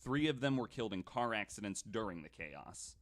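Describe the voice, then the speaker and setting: A man hosting a podcast, telling a story to co-hosts in casual, comedic, animated chat with some swearing. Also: lowish voice, brisk.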